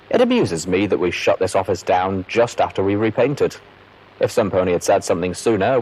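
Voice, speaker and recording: A man's voice: on the low side, darkish tone, breathy edge, poor audio. A man playing a resigned, chatty pony clerk reading out a log entry, dry and mildly grumbling.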